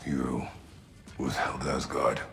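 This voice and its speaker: An actor playing a stern, brooding warrior father with a deep, gravelly, terse and weighty delivery in a video game. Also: monotone, takes it slowly, a bit bright, faint music behind, heavily acted. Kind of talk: video game cutscene acting.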